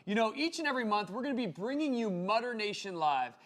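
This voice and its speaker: A man co-hosting a show, talking with energetic, playful banter. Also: high-pitched, fast talker. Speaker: co-host.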